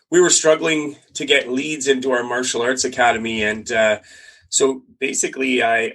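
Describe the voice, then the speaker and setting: Man, interview guest, middle-pitched voice, hesitant, lots of ums and restarts. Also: a bit bright, poor audio. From Canada. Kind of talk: conversational interview answers.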